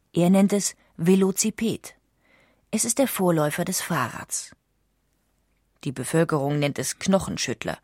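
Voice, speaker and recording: Low-voiced woman narrating a documentary. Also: no room sound, clean studio sound. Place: dry studio.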